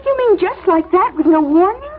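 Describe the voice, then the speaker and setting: A woman's voice: very high voice, expressive, moderate pace. An American actress playing an anxious, concerned woman in a 1970s radio drama, with an earnest, emotional delivery. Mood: dismayed, concerned. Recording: tinny, noisy old radio broadcast.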